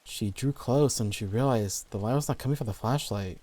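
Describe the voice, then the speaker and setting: A man's voice: mid-range voice, normal speed, mellow. A man reading scripted horror stories as the narrator.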